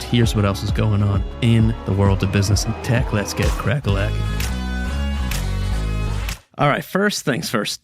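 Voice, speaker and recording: A man hosting a business news podcast in an informal, playful, conversational way. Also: lowish voice. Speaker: podcast host.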